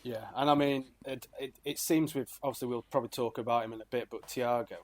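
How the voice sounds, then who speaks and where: A man's voice: mid-range voice, steady tone, fast, slight background noise. A man co-hosting a casual football podcast, talking in a loose, hedging way and often ending on tag questions. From Crewe, England. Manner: conversational, hedging, ends lines on tag questions. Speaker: podcast co-host.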